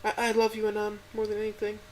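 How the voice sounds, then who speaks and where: A man voicing a gentle young female dinosaur who stammers and quivers as she pleads tearfully. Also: sits high, completely flat delivery, quick pace, a little hiss or hum, voice pushed up, close to an everyday voice. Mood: tearful pleading.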